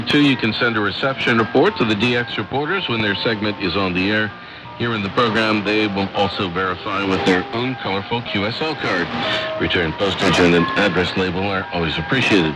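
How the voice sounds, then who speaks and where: American male radio presenter, lower register, reads mail and announcements clearly over music on a shortwave broadcast. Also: low-quality recording. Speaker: radio programme host. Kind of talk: shortwave radio mailbag show, reading letters and announcements.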